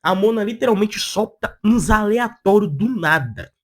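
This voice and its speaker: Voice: medium pitch, animated, moderate pace. A person with a Brazilian Portuguese accent giving casual opinion commentary as a video essayist, in a blunt, slangy, sarcastic way.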